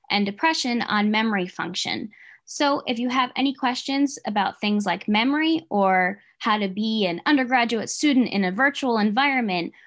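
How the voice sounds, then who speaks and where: Young woman, fairly high voice, prepared introduction, graduate teaching assistant. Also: a bit bright. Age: young adult. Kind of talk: prepared self-introduction to students. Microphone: video call.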